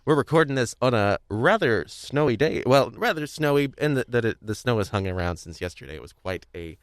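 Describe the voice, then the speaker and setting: A young man casually hosting a podcast, talking in a rambling, halting way with frequent restarts. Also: mid-range voice, big pitch swings, dry recording, clean studio sound. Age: young adult. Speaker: podcast host.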